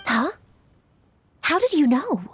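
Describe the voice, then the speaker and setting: A woman's voice: sits high, very animated, slow pace, full character voice. A woman playing a confident, businesslike young official in a video game, speaking in an articulate, matter-of-fact way. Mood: composed, amused. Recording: music playing behind the voice.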